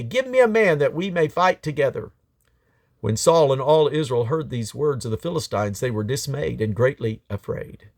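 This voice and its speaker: A man's voice: a little high, no echo, clean studio sound. A man reading scripture aloud in a declamatory way, in short phrases with occasional small stumbles. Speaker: Bible reader. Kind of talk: scripture read aloud from the King James Bible.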